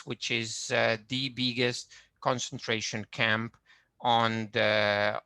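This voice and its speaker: Venezuelan-accented male lecturer, slow, bright and dry, plenty of uhs and ums. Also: mid-range voice, clean tone, no echo. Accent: Venezuelan Spanish. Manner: hesitant, many fillers, friendly explaining. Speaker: genealogist presenting a webinar. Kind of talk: online lecture with shared screen.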